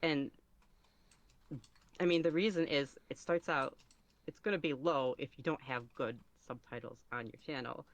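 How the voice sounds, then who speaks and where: A man's voice: medium pitch, normal speed, pauses often, dull audio. A man speaking casually as an interview guest, rambling and somewhat self-conscious as he goes. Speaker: podcast guest, ASMR roleplay creator.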